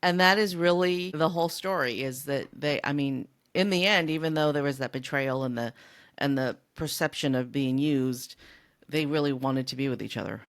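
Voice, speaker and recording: A woman co-hosting a podcast, chatting casually and in an animated way about a book. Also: rumbling low, normal speed. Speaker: podcast co-host.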